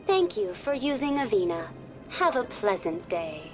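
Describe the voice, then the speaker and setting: A woman's voice: on the high side, big pitch swings, unhurried. A woman playing a polite virtual tour guide program in a game, formal and informative in a scripted guide style. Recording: music behind the voice.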